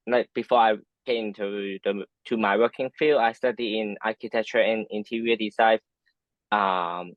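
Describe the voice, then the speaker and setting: A man's voice: on the low side, no echo, dull audio. A young man from Hong Kong with a Hong Kong accent, Cantonese native, answering interview questions hesitantly with many fillers and restarts. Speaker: guest.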